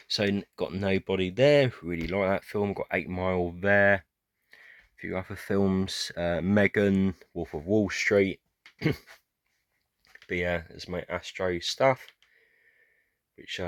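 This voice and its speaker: A British man giving a rambling, casual tour of his film shelves, hesitant with many fillers, clearing his throat often as his voice goes hoarse. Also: low-pitched, a bit bright, dry recording. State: going hoarse, losing his voice. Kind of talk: casual room tour vlog.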